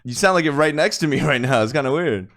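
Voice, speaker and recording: A man's voice: a little high, animated, fast, a bit bright. A man co-hosting a podcast, easygoing and agreeable, joking along in casual chat. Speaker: podcast co-host.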